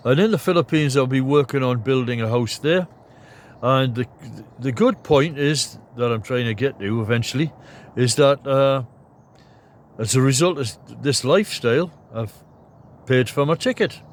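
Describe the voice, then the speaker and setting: An older man in his seventies chats to his vlog audience from inside a roomy cabin. His talk is loose and rambling, full of ums and the odd throat clear, at an ordinary middle pitch. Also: moderate pace. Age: 70s. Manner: rambling, chatty, many fillers and throat clearing. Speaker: vlogger. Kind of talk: casual vlog talk to camera. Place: inside a large wooden cabin.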